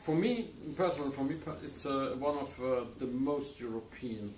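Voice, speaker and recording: A man hosts a wine interview, feeling his way through sentences with frequent "uh" and restarts. His voice is mid-pitched. He sounds distant and echoey, with faint noise behind him. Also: lively intonation, darkish tone. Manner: hesitant, halting, with many fillers. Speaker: wine reporter. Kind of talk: casual interview. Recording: roomy, distant mic, faint background noise.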